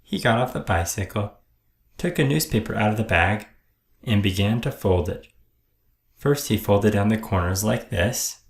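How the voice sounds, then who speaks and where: Male children's book narrator: lowish creaky voice, expressive and deliberate, recorded in an echoey room. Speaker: storyteller reading a picture book aloud. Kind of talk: children's read-aloud narration.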